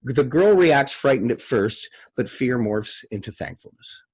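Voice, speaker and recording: Casting: male table-read host and narrator, raspy mid-range voice, casual, plain delivery. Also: mid-range voice, expressive, a little slow, darkish tone. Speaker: host and stage-direction narrator. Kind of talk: scripted screenplay narration and casual introductions.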